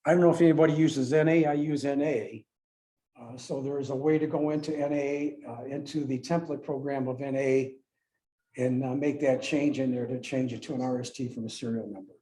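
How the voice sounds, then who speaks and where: Guy explaining rules at a club talk, soft mellow voice, bit halting, repeats himself. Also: mid-range voice, fairly even intonation, rough recording. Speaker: club presenter explaining radio contest rules. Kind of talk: informal explanatory presentation with slides. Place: club meeting room with a few people present.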